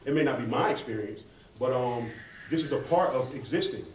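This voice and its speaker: Fast-talking American man in an echoey hall. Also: mid-range voice, expressive, a little mellow. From southeastern United States, near Atlanta. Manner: candid, informal, slangy. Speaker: hip hop artist and former college athlete answering questions. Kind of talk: casual audience question-and-answer talk. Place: large echoey indoor room at a live event. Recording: echoey hall, distant mic, faint noise.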